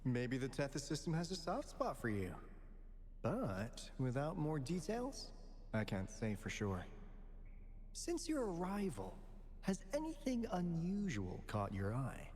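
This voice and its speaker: Game role: flamboyant, knowing male guardian, very animated line readings, long reverb. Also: on the high side, a little hiss or hum. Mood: pensive, curious. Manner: theatrical, dramatic pauses and flourishes. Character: theatrical, playful male guardian of a secret island order, knowing and flamboyant. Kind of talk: video game voice acting.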